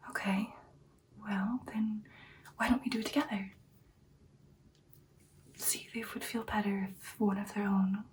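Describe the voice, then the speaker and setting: A woman playing a devoted, hesitant vampire girlfriend in an ASMR roleplay, speaking in a soft, breathy, halting whisper. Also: medium pitch, far from the mic, slight background noise, lightly acted, poor audio. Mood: tender, earnest. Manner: soft, halting, deliberate, intimate. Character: devoted, hesitant female vampire girlfriend plotting with her werewolf lover.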